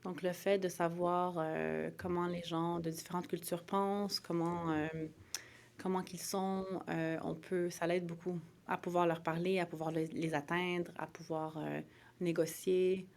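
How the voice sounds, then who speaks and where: A woman's voice: on the low side, flat delivery, unhurried, a little mellow. A woman from Montreal with a Quebec French accent, answering interview questions conversationally in a hesitant way, often repeating and rephrasing her words. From Montreal, Quebec, Canada. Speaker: interview guest, lawyer.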